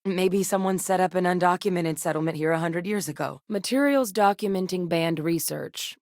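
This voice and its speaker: A voice actress playing a composed woman scientist with a military past, speaking in a measured, clipped, matter-of-fact way. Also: lowish voice, darkish tone, dry recording, clean studio sound, lightly acted. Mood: steady. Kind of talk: video game voice lines.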